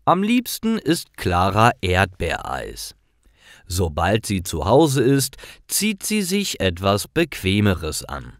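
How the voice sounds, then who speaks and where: A man narrating scripted stories for language learners in deliberate, clearly separated short sentences. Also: low-pitched, wildly swooping pitch, no echo, studio quality.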